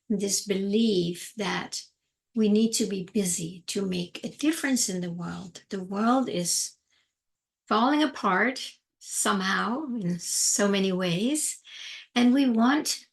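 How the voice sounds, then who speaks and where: A middle-aged woman speaks slowly and unhurriedly, her voice carrying a gravelly fry. She talks calmly as a spiritual teacher, with a middle-range pitch, often pausing to find the right words. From Denmark. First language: Danish. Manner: calm, unhurried, searching for words. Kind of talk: reflective spiritual talk.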